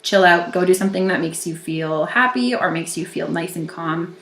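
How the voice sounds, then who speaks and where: A woman's voice: lowish voice, echoey room, slight background noise. Groggy-voiced woman giving a tarot reading. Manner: gentle, reassuring, with pauses and restarts. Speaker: tarot reader.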